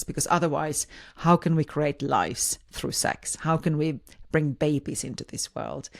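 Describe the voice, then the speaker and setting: A woman with a Finnish accent hosting a podcast, speaking informally on her own and often rephrasing herself mid-sentence. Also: low-pitched. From Finland. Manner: hesitant, rephrases and repeats words mid-sentence. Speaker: podcast host. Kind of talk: informal solo podcast monologue.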